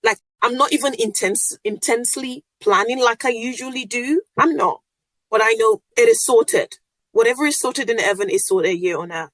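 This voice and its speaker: A woman's voice: on the high side, normal speed, a bit bright, no echo, low-quality recording. A woman from Nigeria with a Nigerian accent, whose first language is Yoruba, hosting a casual solo podcast in a chatty, upbeat way with frequent laughs. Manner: chatty and upbeat, laughs often, lots of fillers. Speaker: podcast host.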